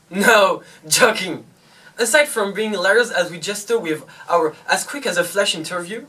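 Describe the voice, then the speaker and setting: A co-presenter of a parody news show, reading a scripted link in a deadpan way. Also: mid-range voice, distant mic.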